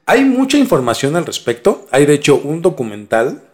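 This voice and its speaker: A man's voice: fairly high pitch, very animated, moderate pace, darkish tone. A man hosting a mystery podcast in a conversational presenting style. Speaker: podcast host.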